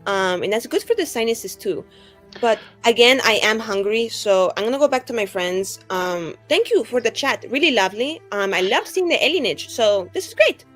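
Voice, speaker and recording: A woman's voice: a little high, animated, moderate pace, fairly bright tone, soft background music. A woman playing a bubbly, vain, scatterbrained young adventurer in a tabletop roleplay, rambling breezily with lots of "like". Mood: breezy, chatty. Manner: rambling, heavy use of "like", breezy.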